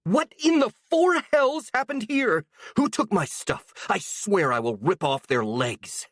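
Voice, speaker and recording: A storyteller voicing a hot-tempered young woman who shouts and sneers with sarcasm. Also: deep voice, very animated, moderate pace, dry recording, clean studio sound, voice pushed up. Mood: furious.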